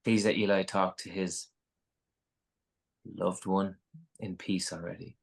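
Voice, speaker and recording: A man's voice: sits low, level tone, slow pace, creaky, breathy edge, full character voice. A man playing an exasperated, protective coworker in a screenplay table read.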